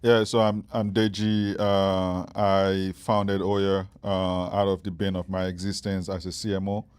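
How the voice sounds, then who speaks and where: A man's voice: low-pitched, level tone, measured pace, a little mellow, clear, resonant tone. A Nigerian man in his forties, a startup founder, answering interview questions with many fillers and restarts. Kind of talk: interview conversation.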